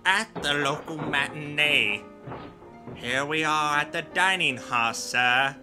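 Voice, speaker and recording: A man's voice: fairly high pitch, slow pace, music in the background. A man playing an eccentric old butler in a comedic horror audio drama, formal and fussy, drifting into unhinged crooning. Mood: formal, grandiose. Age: older. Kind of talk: comedic horror radio-play acting.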